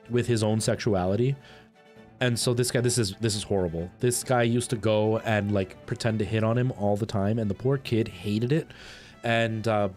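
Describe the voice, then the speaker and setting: A man's voice: on the low side, darkish tone. Male streamer, conversational and chatty, rambling storyteller, music underneath. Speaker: live streamer. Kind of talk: casual chat with viewers over a game stream, storytelling.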